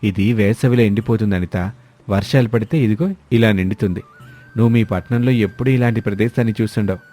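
A man voicing a fond, encouraging young village-born husband in an animated moral story, over music. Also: fairly low pitch, natural voice.

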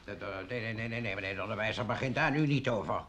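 Actor as an exasperated, forceful village mayor. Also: male voice, lowish voice, very fast, lightly acted. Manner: insistent, formal, repeats himself to restore order. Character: exasperated village mayor keeping a quarrelsome council in order. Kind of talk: radio play acting. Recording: old radio recording, background hiss.

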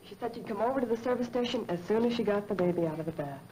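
An actress playing a kindly, composed young hospital telephone operator with an American accent in a 1940s film, polite and reassuring. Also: female voice, medium pitch, expressive, racing, mellow, natural voice. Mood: calm, kindly. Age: young adult. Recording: slightly noisy old film soundtrack.

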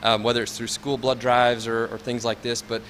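A man, a local business owner answering interview questions in a mall space, friendly but halting. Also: mid-range voice, level tone, a bit bright, a little hiss or hum. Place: large indoor mall space.

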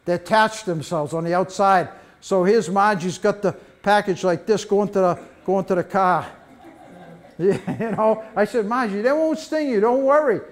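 An older man with a high voice leads a library talk on beekeeping, heard from a camera out in the room. He tells stories with lively energy, jumping up in pitch as he acts out what people said. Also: normal speed. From United States. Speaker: beekeeping presenter. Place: library meeting room, mid-sized, indoors.

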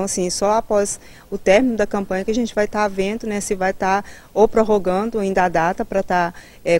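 A woman in her thirties with a Brazilian Portuguese accent, answering interview questions as a health official, haltingly and with many tag words, into a reporter's mic outdoors. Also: mid-range voice, a little mellow. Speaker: public health immunization director. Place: outdoors in a building's garden. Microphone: handheld reporter microphone held toward her.

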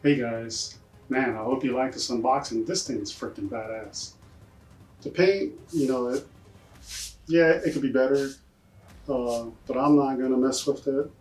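Excited man, husky voice, unboxing collectible. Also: medium pitch, a little slow, rough recording. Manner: enthusiastic, exclaims and uses mild profanity. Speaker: collectibles vlogger. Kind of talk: casual unboxing commentary. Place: indoor collection room with display shelves.

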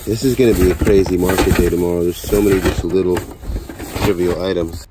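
A man in his forties, chatty and excited, talking to viewers while unboxing outdoors beside storage units. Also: low voice, fairly quick. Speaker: storage auction reseller. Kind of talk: casual unboxing vlog. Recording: outdoor noise behind voice.

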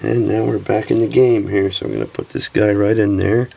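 Deep gravelly guy, a bit sniffly, walking thru a repair at his bench, faint hiss behind. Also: fairly quick. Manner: relaxed, talks while working with hands. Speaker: repair technician. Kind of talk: step-by-step repair tutorial. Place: workbench, indoors.

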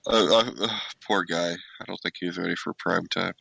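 A man's voice: low voice, steady tone, normal speed, creaky, bright, forceful tone, husky, no echo, poor audio. A man co-hosting a podcast, chatting casually in a laid-back way with short agreeing replies. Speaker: podcast co-host. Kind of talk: casual gaming news chat.

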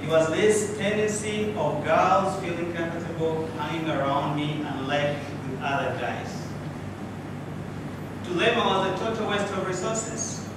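Swahili-speaking man, echoey distant room. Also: fairly high pitch. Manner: storytelling with dramatic pauses and rhetorical questions. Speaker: club speaker. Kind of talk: prepared public speech. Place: small indoor meeting room with audience. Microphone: far camera, no mic. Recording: echoey room from afar, with background noise.

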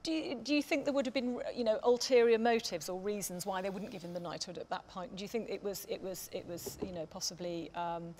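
A woman in her forties with a medium-pitched voice moderates a discussion on stage. She builds her questions tentatively and at length, circling toward the point. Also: brisk, few pauses, darkish tone, slight background noise. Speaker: panel chair. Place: theatre stage, indoors.

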